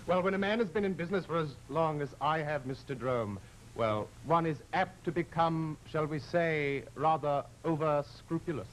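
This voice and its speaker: An actor playing the Devil as a proud, courtly gentleman, mannered and over-polite, with deliberate pauses, in old-time radio horror style. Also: on the high side, slight background noise, lightly acted, rough recording. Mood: smoothly menacing. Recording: vintage radio drama, music behind.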